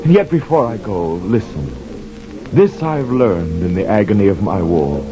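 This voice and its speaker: A man's voice: deep voice, very animated, music in the background. An actor playing a haunted, penitent mariner who tells his tale in grave, poetic radio-drama verse. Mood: weary reverence. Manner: slow, solemn, impassioned, poetic delivery. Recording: dull lo-fi radio recording, clear noise.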